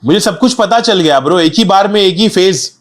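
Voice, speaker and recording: A man's voice: high-pitched, animated, fairly quick. A young man, a guest on a podcast, speaking candidly and reflectively in casual street slang. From India. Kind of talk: casual interview chat.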